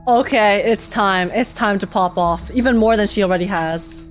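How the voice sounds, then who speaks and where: A woman's voice: mid-range voice, moderate pace. Young woman streamer over faint game music. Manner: excitable, slangy, giggly. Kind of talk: casual live reaction commentary over gameplay.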